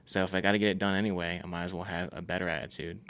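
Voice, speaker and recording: A man's voice: low voice, flat delivery, racing, a bit bright, smooth. A young man co-hosting a podcast, speaking earnestly and thoughtfully about faith and habits, with frequent fillers. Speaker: podcast co-host. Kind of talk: casual faith talk.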